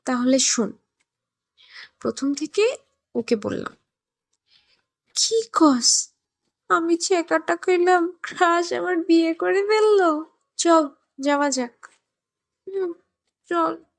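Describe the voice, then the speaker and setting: A woman's voice: very high-pitched, expressive, takes it slowly, vocal fry, long pauses, heavily acted. A woman playing a chatty, teasing young college girl in an audio story, in a girlish, gossipy way. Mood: curious, gossipy. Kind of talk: scripted audio story dialogue.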